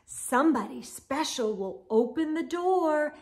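A middle-aged woman hosting a children's storytime, reading aloud expressively with drawn-out words and gentle asides. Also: sits high, lively intonation. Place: indoor room lined with bookshelves.

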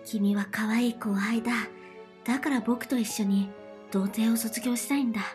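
A woman's voice: on the high side, steady tone, normal speed, darkish tone, faint music behind, natural voice. A woman voicing an eccentric, lewd senior schoolgirl with pompous, boyish, commanding phrasing in a hobby romance voice drama. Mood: pompous, reassuring.

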